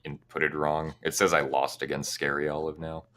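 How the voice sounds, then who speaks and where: Very deep, bright-toned calm man. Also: moderate pace. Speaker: competitor being interviewed. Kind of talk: casual interview answers.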